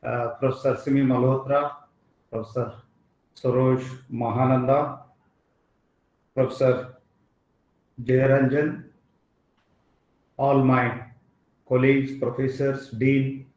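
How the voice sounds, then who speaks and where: A man with an Indian English accent, whose first language is Kannada, giving a formal academic address as a guest speaker. He speaks haltingly and fills his pauses with "uh". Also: mid-range voice, flat delivery, darkish tone, lo-fi sound. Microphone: online video call. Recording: roomy echo.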